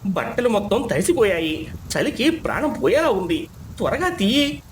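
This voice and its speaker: A man acts out an aging village husband from a moral tale, poor and trusting. He sounds plaintive and earnest, his pitch moving up and down in a lively way. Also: moderate pace, a little hiss or hum. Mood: shivering, pleading.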